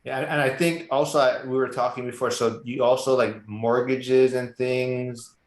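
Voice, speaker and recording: A roomy, echoing recording of a man with an average-pitched voice. He talks casually and conversationally as the host of an interview. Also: fairly even intonation, normal speed. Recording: echoey room.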